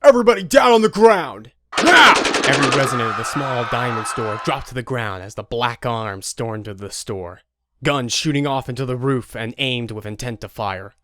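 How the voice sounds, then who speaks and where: A man's voice: mid-range voice, normal speed, background noise. A man reading scripted narration for an audio drama. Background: guitar music.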